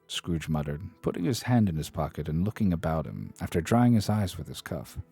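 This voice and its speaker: Deep-voiced man reading aloud on stream. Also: very animated, fairly quick, creaky, warm tone, husky. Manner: dramatic narration with self-deprecating asides. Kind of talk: expressive book reading on a live stream. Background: faint study music.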